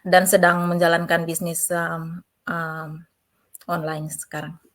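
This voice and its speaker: A woman from East Kalimantan, a guest introducing herself in an unhurried way with fillers. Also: fairly low pitch, completely flat delivery, fairly bright tone. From East Kalimantan, Indonesia.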